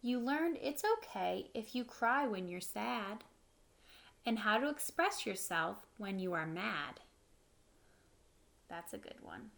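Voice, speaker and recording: A woman's voice: medium pitch, takes it slowly, gravelly, long pauses. Woman reading aloud to children. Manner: expressive storybook reading, simple explanations for children. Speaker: teacher reading a picture book aloud. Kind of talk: children's read-aloud with short explanatory asides. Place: living room at home, indoors.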